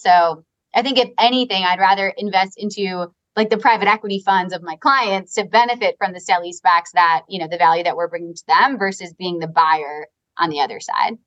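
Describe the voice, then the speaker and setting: A woman from Long Island with a Long Island accent, a company co-founder talking through a business interview in fluent, fast talk full of "like" and "you know". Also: lowish voice, steady tone, fairly bright tone, lo-fi sound. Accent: Long Island New York. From Long Island, New York. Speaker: guest, real estate advisory co-founder.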